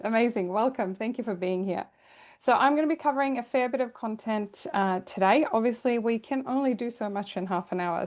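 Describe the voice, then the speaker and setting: A woman's voice: mid-range voice, darkish tone. A middle-aged woman from Sweden, a career coach, hosting an online workshop over a video call in an upbeat, chatty and welcoming way with light laughs. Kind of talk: live online workshop presentation.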